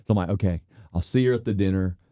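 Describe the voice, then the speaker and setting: A man in his fifties telling a personal story in casual conversation, animated and self-deprecating, laughing often and acting out the voices of the people he quotes. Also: deep voice, fast, no echo, studio quality. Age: 50s.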